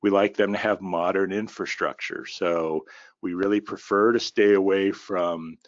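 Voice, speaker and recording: Man, very low voice, slightly raspy, tired, folksy podcast host, dull dry recording. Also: fairly even intonation, a little slow, no echo. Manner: folksy, with many fillers and baseball analogies. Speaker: podcast host and company founder. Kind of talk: solo business podcast monologue.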